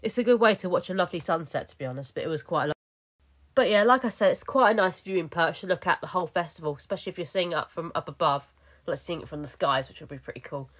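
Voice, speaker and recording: A woman's voice: lowish voice, fast, a bit bright. A young woman narrating her vlog footage in a chatty, rambling way, full of fillers and restarts. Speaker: vlogger. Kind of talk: casual voice-over narration.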